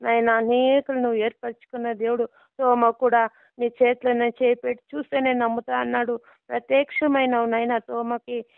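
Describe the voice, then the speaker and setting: A woman's voice: a little high, little pitch movement, normal speed, creaky, no echo. A middle-aged woman, a pastor praying and giving counsel over the phone. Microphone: phone line. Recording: muffled lo-fi phone call.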